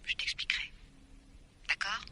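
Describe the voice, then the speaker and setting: Insistent, secretive woman, bright voice. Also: measured pace, slight background noise. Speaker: radio drama actress. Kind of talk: French radio mystery drama acting.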